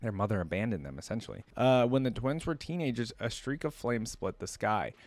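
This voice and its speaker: A man's voice: mid-range voice, animated, a little fast, dry recording. A man hosting a reaction video, talking conversationally and thinking aloud as he comments and reads lore.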